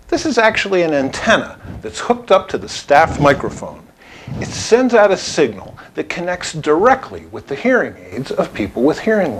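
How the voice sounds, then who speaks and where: A man presenting a scripted product overview in an instructional, emphatic, clearly structured way. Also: a little high, slight background noise. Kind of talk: scripted explainer narration to camera.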